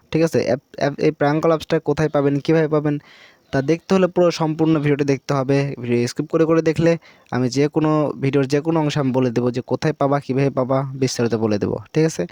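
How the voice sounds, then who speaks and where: A man's voice: on the high side, a little mellow, no echo. A man with a Bangladeshi accent hosting a casual, unscripted tutorial, repeating himself and often checking in with short tag questions. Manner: repetitive, frequently seeking confirmation with tag questions. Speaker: tutorial video host.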